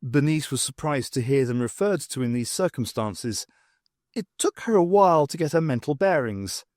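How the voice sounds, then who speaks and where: A man's voice: fairly high pitch, a little mellow, no room sound, studio quality. A man narrating a scripted story as an audiobook reader.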